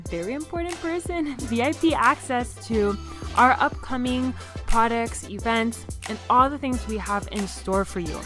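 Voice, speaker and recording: A woman hosting a podcast, reading guest intros and show promos in an upbeat, enthusiastic way. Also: mid-range voice. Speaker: podcast host.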